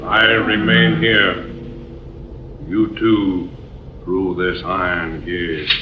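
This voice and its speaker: An actor playing a sinister, taunting guard of a sacrificial pit, giving ominous, deliberate commands in a 1940s radio adventure. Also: male voice, sits low, flat delivery. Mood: cold command. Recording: echoey, muffled, hissy old radio recording.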